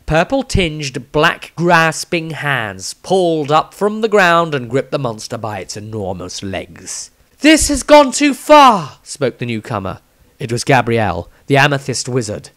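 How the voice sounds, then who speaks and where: A man's voice: medium pitch, fairly bright tone. A man reading a scripted fantasy tale as its narrator, in a dramatic storytelling style. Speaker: author reading his own story.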